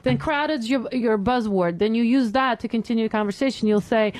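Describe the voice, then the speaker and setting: A woman's voice: on the high side, a little fast, a little mellow, dry recording, rough recording. A woman with a foreign accent giving earnest dating advice as a guest on a radio talk show. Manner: earnest, explanatory, laughs easily.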